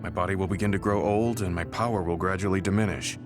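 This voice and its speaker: An actor playing a weary, remorseful veteran soldier in a video game, speaking in an earnest, apologetic and measured way. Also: male voice, deep voice, steady tone, close to an everyday voice. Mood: somber, resigned. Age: older. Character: weary, remorseful veteran male soldier, humble and self-reproachful. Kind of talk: video game English voice acting. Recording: game music behind the voice. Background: game music.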